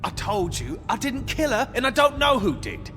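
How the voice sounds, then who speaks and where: A man's voice: extremely high pitch, big pitch swings, moderate pace, bright, forceful tone, faint music behind, heavily acted. An actor playing a cocky, sarcastic henchman in a video game, with a mocking, flippant and defiant way of talking. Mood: defensive. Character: cocky, sarcastic wisecracking male thug henchman under interrogation. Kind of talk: dramatic video game acting.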